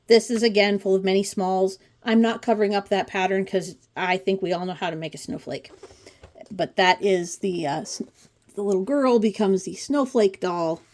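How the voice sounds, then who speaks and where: A woman's voice: mid-range voice, steady tone, moderate pace, darkish tone. Casting: woman in her forties, mid-range voice, informal craft host with a chatty, dryly humorous delivery. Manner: chatty, wry asides. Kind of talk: casual commentary on a pattern book flip-through.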